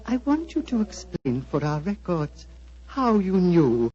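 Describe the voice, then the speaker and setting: An actor playing a gentle, wistful old monastery abbot, speaking in a solemn, fatherly, flowery manner of 1940s radio drama. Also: sits high, very animated, a little slow, full character voice. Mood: serene. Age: older. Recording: dull, muffled 1940s radio recording.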